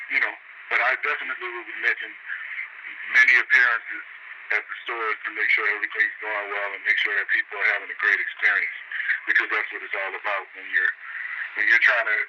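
A man talks as a radio guest in a laid-back, rambling way, stacking fillers as he goes. His voice comes through a dull, muffled line with steady noise underneath. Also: lowish voice. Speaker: radio guest, business owner. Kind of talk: casual radio interview.

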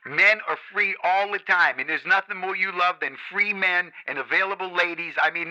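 A man hosting a radio show with a strikingly bright, cutting tone and a slightly high voice. He rolls out smooth, salesy DJ patter, pitching deals to his listeners. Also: rough recording. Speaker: radio host. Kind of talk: hyped radio show chat.

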